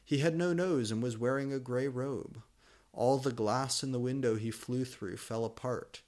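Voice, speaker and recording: A man's voice: mid-range voice, normal speed, a little mellow. A man narrating amateur fan fiction as a comic dramatic reading, with exaggerated, mock-serious emphasis.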